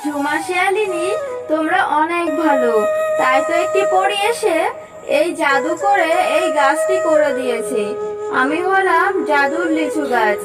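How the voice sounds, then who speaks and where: A woman voicing a righteous magical talking tree in a children's cartoon, with a solemn, moralizing manner. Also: very high-pitched. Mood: benevolent.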